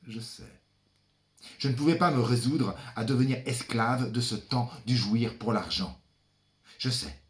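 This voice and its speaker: A man performing a theatrical monologue as a brooding son, the ferryman of the dead, addressing his father in a deliberate, dramatic, incantatory manner. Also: mid-range voice, natural voice. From France. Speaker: stage performer.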